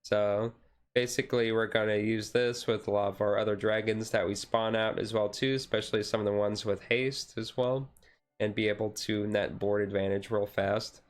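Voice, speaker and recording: A man giving casual commentary on a card deck as a streamer, thinking aloud in a halting way. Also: lowish voice, level tone.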